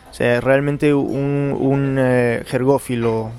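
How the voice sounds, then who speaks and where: A man speaks in an unscripted interview, weighing his words. He hesitates often and repeats himself as he builds his answers. His voice sits at an ordinary middle pitch. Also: flat delivery, slow, a little mellow. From Peru. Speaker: interviewed novelist.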